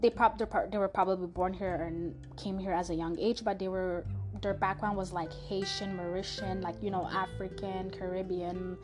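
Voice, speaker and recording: A woman's voice: medium pitch, steady tone, moderate pace, over music. A young woman from Montreal with a French accent, chatting through a personal story on her podcast with frequent fillers and restarts. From Canada (Montreal). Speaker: podcast host.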